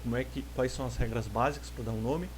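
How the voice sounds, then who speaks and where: A man's voice: medium pitch, fairly even intonation, quick pace, a little mellow, a little hiss or hum. A man with a Brazilian Portuguese accent teaching a programming class in a recorded lecture, explaining patiently and restarting phrases often.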